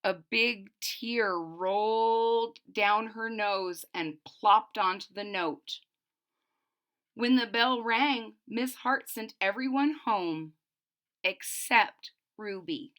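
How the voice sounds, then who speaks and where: Female children's story reader; expressive, slow-paced and bright, explaining simply as she goes. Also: mid-range voice. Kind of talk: animated read-aloud for young children with explanatory asides.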